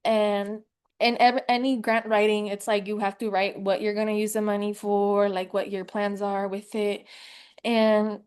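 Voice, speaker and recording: A young woman on a video call, relaxed as she tells the story of a project. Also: mid-range voice, a little slow, clear, resonant tone. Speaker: panelist. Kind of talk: recounting a project.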